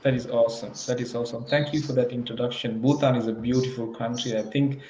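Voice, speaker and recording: A man's voice: mid-range voice, steady tone, quick pace, mellow. A man from Sri Lanka co-hosting a live online interview, speaking hesitantly with lots of ums. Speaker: co-host. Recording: echoey room with faint ambient noise.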